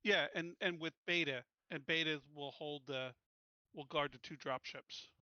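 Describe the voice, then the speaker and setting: A man's voice: fairly high pitch, fairly even intonation, measured pace, pushed and bright, no room sound, muffled recording. A man chatting casually as a player in a tabletop roleplaying game.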